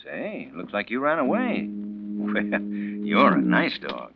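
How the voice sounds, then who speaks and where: A man's voice: lowish voice, huge pitch swings, takes it slowly, phone-quality sound, heavily acted. A man playing a weary, henpecked history professor in a 1940s radio drama, hesitant and strained. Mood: gentle, friendly. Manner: hesitant, pleading, breaking into outbursts. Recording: very noisy, crackly vintage radio recording.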